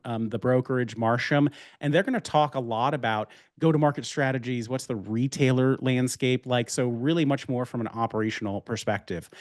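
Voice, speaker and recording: A man's voice: medium pitch, dry recording, clean studio sound. A man hosting a webinar, opening it in an upbeat, self-deprecating way. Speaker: webinar host. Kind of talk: webinar introduction.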